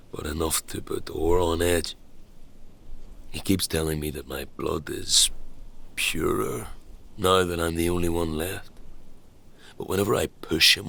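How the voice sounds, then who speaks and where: A man's voice: low voice, vocal fry, pushed and bright, husky, full character voice. An actor playing an uneasy, self-deprecating man in a fantasy audio drama, stammering and searching for words. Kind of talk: audio drama acting, naturalistic dialogue.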